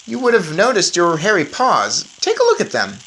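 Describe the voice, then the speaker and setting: A woman's voice: on the low side, huge pitch swings, normal speed, a bit bright, a little hiss or hum, full character voice, rough recording. A woman voicing a sensible young boy turned mouse in a storybook, earnestly explaining and coaxing. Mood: matter-of-fact.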